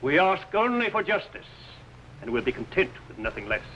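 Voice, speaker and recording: A solemn medieval churchman in an old TV costume drama. The voice is fairly high and bright, the speech formal and determined. The soundtrack is faintly noisy. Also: very animated, brisk, heavily acted. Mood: resolute. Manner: formal, archaic, declamatory. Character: resolute, solemn medieval English archbishop or courtier. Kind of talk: 1950s television costume drama acting.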